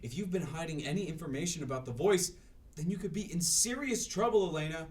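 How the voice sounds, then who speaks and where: A man's voice: on the high side, fast, natural voice. A man playing an insistent, accusing character pressing for the truth in a radio drama. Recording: slightly distant from the mic.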